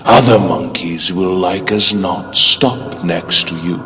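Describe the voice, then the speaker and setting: A man's voice: low-pitched, pushed and bright, slight background noise, heavily acted. A man voicing a vast inhuman fungal god that pronounces solemnly and with contempt on humanity, in a motion comic. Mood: scornful. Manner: slow, solemn, deliberate pronouncements. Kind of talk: dramatic comic narration. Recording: cavernous reverb, distant, faint music behind.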